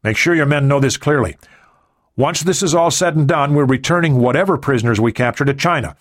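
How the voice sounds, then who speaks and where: A man's voice: mid-range voice, brisk, voice pushed up, lightly acted. A man doing a curt mercenary commander. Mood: commanding. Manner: clipped, commanding orders.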